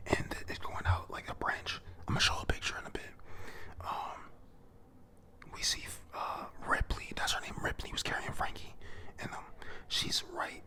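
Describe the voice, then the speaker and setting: A person whispering an informal, halting recap of a comic chapter for viewers, breathy and prone to casual swearing. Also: bright, forceful tone. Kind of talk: whispered read-through and recap of a manga chapter.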